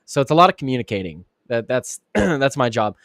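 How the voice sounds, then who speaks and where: A man hosting a live commentary show who sounds raspy and under the weather, rambling with frequent restarts and fillers. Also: big pitch swings, brisk, fairly bright tone, no room sound, clean studio sound. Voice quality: raspy, deeper than usual. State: sick, clearing throat and coughing. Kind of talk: casual live-stream commentary.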